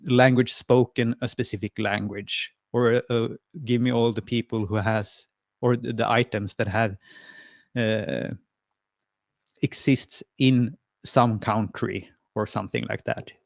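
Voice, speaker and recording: A man's voice: mid-range voice, steady tone, a little slow, vocal fry, no echo, studio quality. A man from Sweden, presenting a friendly, hesitant screen-share tutorial over a video call, with lots of fillers and restarts. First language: Swedish. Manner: friendly and encouraging.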